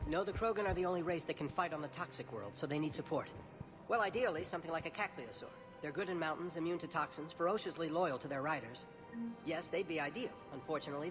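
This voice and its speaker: Game alien theorist, male actor: analytical, quick, exact, music underneath. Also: fairly high pitch, in a large hall, close to an everyday voice. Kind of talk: video game acting.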